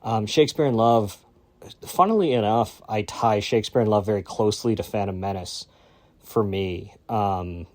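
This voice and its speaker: A man's voice: on the low side, normal speed, a little mellow. A man hosting a podcast, giving a casual solo introduction in a rambling, reflective, enthusiastic way. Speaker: podcast host.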